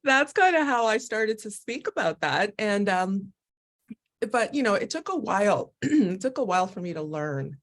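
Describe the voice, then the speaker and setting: A woman speaking as a guest on a live video call, telling her own story in an animated, expressive way and often laughing as she talks. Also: mid-range voice, normal speed. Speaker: guest, Human Design educator. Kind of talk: candid personal conversation.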